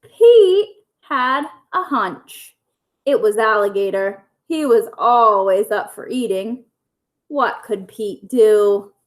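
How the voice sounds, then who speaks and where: A woman storyteller reading a picture book aloud to young children in simple, deliberate phrases. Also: medium pitch, expressive.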